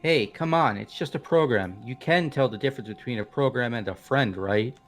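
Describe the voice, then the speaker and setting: A man's voice: mid-range voice, a little fast, soft background music, close to an everyday voice. A man voicing a brash, casual, skeptical teenage boy with an offhand, argumentative manner, in amateur game voice acting.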